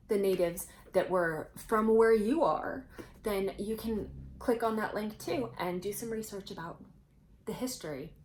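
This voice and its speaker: Female children's storybook reader, mid-range voice, expressive phrasing, slight background noise. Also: mid-range voice. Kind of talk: children's storybook read-aloud with chatty asides.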